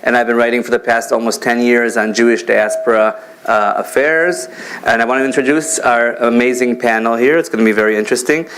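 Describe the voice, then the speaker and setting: A man's voice: mid-range voice, fairly even intonation, fairly quick, fairly bright tone, a little hiss or hum, rough recording. A man from Israel in his thirties moderating a panel informally, with a hesitant, joking way of introducing speakers. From Israel, born in America. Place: conference panel before an audience.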